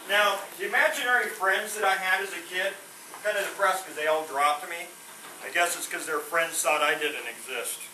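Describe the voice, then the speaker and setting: A man doing stand-up one-liners and corny puns without a microphone, his punchlines trailing off sheepishly. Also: high voice. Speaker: stand-up comedian. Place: veterans home hall. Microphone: no microphone, far from the recorder. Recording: roomy hall from a distance, noisy.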